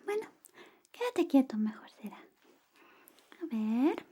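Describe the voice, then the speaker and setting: A woman speaking softly and often whispering in an ASMR roleplay, playing an affectionate, playful best friend. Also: high-pitched, big pitch swings, takes it slowly, breathy edge. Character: affectionate, clumsy female best friend giving a shave. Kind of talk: ASMR personal attention roleplay.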